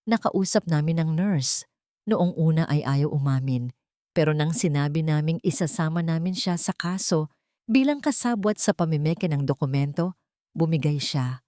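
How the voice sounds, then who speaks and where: A woman's voice: sits low, lively intonation, moderate pace, mellow, no room sound, studio quality. A woman voicing a firm, serious, determined lawyer in an audio story. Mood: triumphant. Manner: serious, emphatic.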